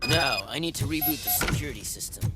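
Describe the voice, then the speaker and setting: An actor playing a gruff, irritable security guard in a video game, blunt and grumbling with a sarcastic streak. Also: male voice, mid-range voice, expressive, fast talker, very noisy, natural voice. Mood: businesslike.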